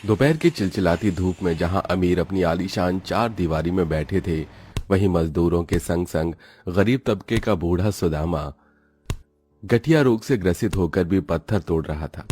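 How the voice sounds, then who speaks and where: Deep-voiced man narrating a story. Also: moderate pace, darkish tone, soft background music. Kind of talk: scripted dramatic narration.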